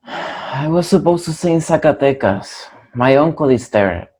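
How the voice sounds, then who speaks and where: A man's voice: fairly high pitch, expressive, measured pace, darkish tone, slightly rough. Man playing an earnest young Mexican man. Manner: earnest, mixing Spanish phrases. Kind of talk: play reading.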